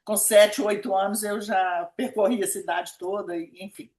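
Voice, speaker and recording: A woman's voice: mid-range voice, fairly even intonation, normal speed, darkish tone. A woman of about seventy with a Brazilian Minas Gerais accent, recalling her life in a conversational interview and repeating words for emphasis. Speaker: interviewee, pediatric nephrologist. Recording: echoey room sound.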